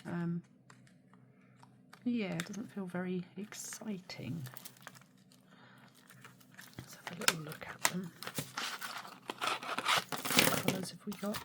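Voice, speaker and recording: Woman with a cold, hoarse n snuffly, half whispering thru an unboxing, noisy background. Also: on the low side, slow, pauses often. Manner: soft-spoken, often drops to a near whisper; mildly critical, hesitant with many ums. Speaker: art supply unboxing vlogger. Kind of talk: casual unboxing chat. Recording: steady background noise.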